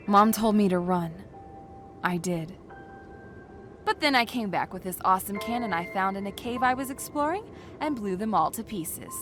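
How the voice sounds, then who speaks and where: Casting an actress as a cynical young adventuress: sardonic, commanding, medium pitch, comic dub drama with prominent backing music. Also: female voice, close to an everyday voice. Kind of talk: sci-fi comic dub acting.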